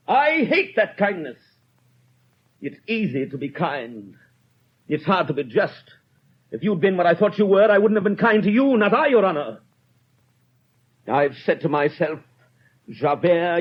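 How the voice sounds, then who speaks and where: A man's voice: sits high, slightly rough, exaggerated voice. A man playing a stern, rigid police inspector in 1930s radio drama style, with a clipped, formal, unbending manner. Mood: impassioned, bitter. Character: stern, rigid, self-punishing male French police inspector. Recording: dull old 1930s radio recording.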